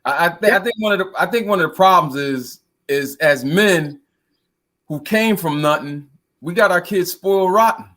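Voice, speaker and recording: A man's voice: high-pitched, normal speed, pushed and bright. A man on a casual discussion panel, talking in a slangy, exasperated way and laughing between points. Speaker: podcast panelist.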